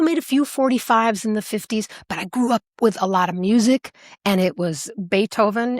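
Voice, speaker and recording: A woman with an American accent, speaking casually as an interview guest, animated and playful as she tells her stories. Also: mid-range voice, dry recording, clean studio sound. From Alaska, United States. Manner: animated, playful, acts out remembered remarks. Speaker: singer, podcast guest.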